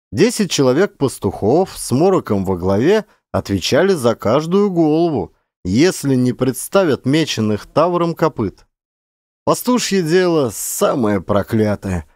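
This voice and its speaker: A man's voice: mid-range voice, a little mellow, no room sound, clean studio sound. A man narrating a literary story as an audiobook actor, with dramatic, varied emphasis.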